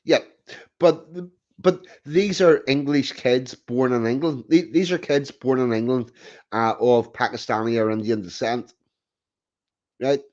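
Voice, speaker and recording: A man's voice: mid-range voice, unhurried, darkish tone. A man hosting a casual chat, giving blunt opinions with frequent restarts. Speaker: host. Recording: dull lo-fi sound.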